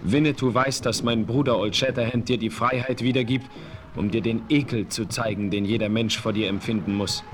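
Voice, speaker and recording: An actor playing a dignified Apache chief in a radio play, speaking formally and referring to himself in the third person. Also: male voice, mid-range voice, fairly even intonation, fairly quick, faint music behind, close to an everyday voice. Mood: stern. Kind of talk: 1970s radio play acting.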